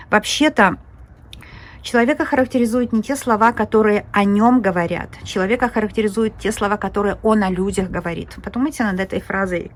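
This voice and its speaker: A woman reading tarot cards to viewers in a rambling, chatty way, full of fillers and restarts. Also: medium pitch. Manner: addresses viewers directly as friends and girls. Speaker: tarot reader. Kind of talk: casual tarot reading monologue.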